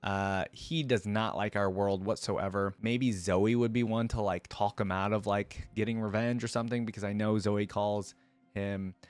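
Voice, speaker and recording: Low-voiced man giving casual reaction commentary. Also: steady tone, moderate pace, smooth, no room sound. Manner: informal, emphatic, thinking aloud. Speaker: reaction video host.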